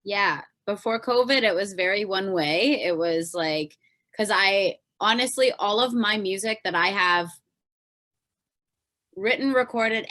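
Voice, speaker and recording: A woman's voice: medium pitch, a bit bright. A woman with a Canadian accent speaking as an interview guest, informal and rambling, often trailing off. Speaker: podcast guest, pop singer-songwriter. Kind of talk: casual interview chat.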